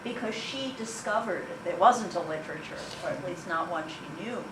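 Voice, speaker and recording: A woman's voice: lowish voice, moderate pace. A woman in the audience making an enthusiastic comment in a discussion. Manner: enthusiastic about a book. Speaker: audience member. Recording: echoey room, distant mic, noisy.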